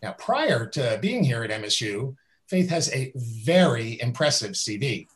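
A middle-aged man hosts an interview over a video call and reads his guest's introduction. His tone is upbeat and admiring, and his voice sits at an ordinary middle pitch. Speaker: interview host. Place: indoors with a virtual background.

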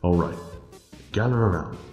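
A man's voice: low-pitched, moderate pace, darkish tone, natural voice. A man voicing a cautious, anxious skeleton scientist in a cartoon dub, speaking formally and hesitantly. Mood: resigned. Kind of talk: animated comic dub acting. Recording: roomy echo, faint music.

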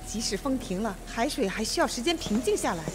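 A woman playing a composed, authoritative judge in a Mandarin radio drama, speaking in a measured, probing way. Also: a little high, animated, noisy background, natural voice. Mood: cool, commanding.

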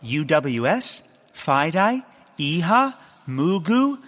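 An actor playing a neurotic, eccentric, earnest scientist in a comic audio drama. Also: male voice, a little high, very animated, slow, fairly bright tone, long reverb tail, heavily acted. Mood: eager, nervous.

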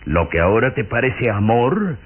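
A man's voice: mid-range voice, very animated, moderate pace, husky. An actor playing a pompous, scornful old aristocratic father in a radio drama. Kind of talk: Mexican radio drama acting. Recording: muffled old radio recording.

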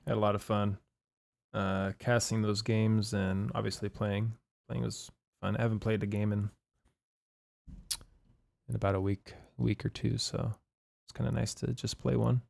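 A young man, hoarse from a long session, chatting loosely with his live-stream viewers about the game and reading their chat. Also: on the low side, flat delivery, normal speed, darkish tone, dry recording. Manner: laid-back, swears casually, reads chat aloud. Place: home room at night.

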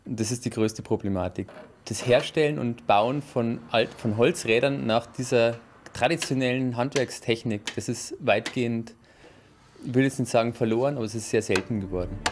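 A man answers interview questions about traditional woodworking. He speaks haltingly and explains as he goes, at an ordinary mid pitch. Faint noise from the workshop is heard behind him. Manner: hesitant, explanatory, with fillers. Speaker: carpenter interviewee.

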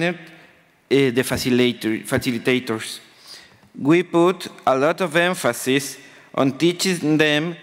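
A man in his forties from Ecuador with a Spanish accent reads a prepared conference speech. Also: fairly high pitch. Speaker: conference presenter. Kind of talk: prepared speech read from notes. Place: large conference hall panel table. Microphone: table microphone. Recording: reverberant conference hall microphone.

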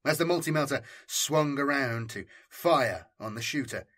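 A man's voice: medium pitch, moderate pace, fairly bright tone. A man reading military science fiction as an audiobook narrator, in a scripted, dramatic storytelling style.

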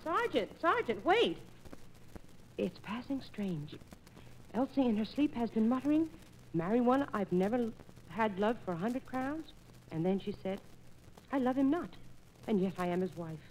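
An actress playing a meddling, knowing older dame in a theatrical 1940s radio operetta. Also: female voice, fairly high pitch, sing-song, normal speed, pauses often, darkish tone. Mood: gossipy, knowing. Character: gossipy, meddling older dame, eager to marry. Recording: noisy old 1940s radio disc.

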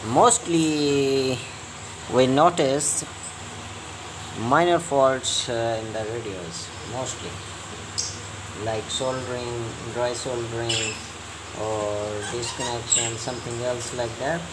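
A man giving a step-by-step hands-on repair tutorial as a technician, in halting, repetitive short phrases. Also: medium pitch. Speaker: repair technician and channel host. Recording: clear steady hum of room noise.

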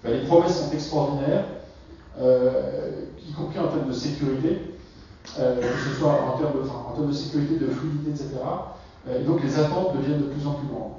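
A man's voice: medium pitch, steady tone, mellow, slight background noise. A middle-aged man giving a conference keynote, hesitating often with filler sounds as he explains his points. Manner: hesitant, many filler pauses. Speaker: keynote speaker. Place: large conference hall. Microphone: far 360 camera in the hall. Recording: echoey hall from far off, dull and lo-fi.

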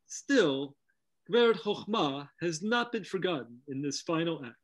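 Scholarly middle-aged man, slightly high-pitched voice. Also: lively intonation, measured pace. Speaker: lecturer, program presenter. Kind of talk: scholarly scripted narration. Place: home study with bookshelves, indoors. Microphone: video call.

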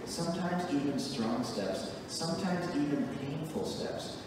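Earnest middle-aged pastor in an echoey hall. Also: male voice, fairly high pitch, animated, background noise. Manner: earnest, emphatic preaching with measured pauses. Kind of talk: sermon. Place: church sanctuary, at a wooden pulpit. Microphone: pulpit mic, recorded from a far camera. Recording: echoey church hall from far off.

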